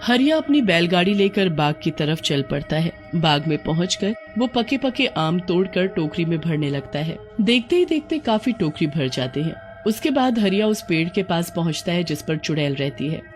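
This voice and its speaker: A woman's voice: fairly low pitch, sing-song, moderate pace, few pauses, darkish tone, faint music behind. A woman narrator reading a scripted moral horror tale in a dramatic storytelling style.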